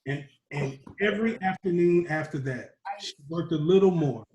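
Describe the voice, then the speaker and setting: Higher-voiced man reading aloud, roomy echo. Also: expressive, moderate pace, lo-fi sound, background noise. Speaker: storytime reader. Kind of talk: reading a children's picture book aloud.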